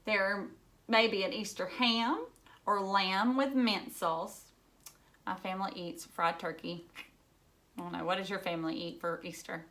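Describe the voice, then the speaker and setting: A woman's voice: mid-range voice, fairly even intonation, a little slow, fairly bright tone. Woman reading a picture book to children. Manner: explains simply, addressing young listeners directly. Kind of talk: read-aloud storybook narration with chatty asides.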